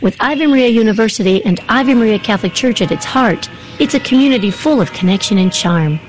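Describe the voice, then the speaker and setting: A woman's voice: on the high side, expressive, brisk, soft background music, low-quality recording. A woman reading a scripted radio commercial in an inviting, upbeat way. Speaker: ad announcer.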